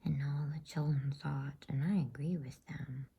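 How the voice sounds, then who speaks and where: A woman storyteller reading a bedtime story in ASMR style with a soft, hushed whisper. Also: low-pitched, fairly even intonation, normal speed, gravelly, breathy edge, dull audio. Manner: soft whispering, soothing hush.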